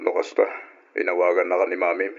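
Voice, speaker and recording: A man's voice: deep voice, monotone, fast talker, creaky. An actor playing a troubled, aggrieved husband and father in an Ilocano radio drama. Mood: fatherly, subdued. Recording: dull, noisy old radio recording.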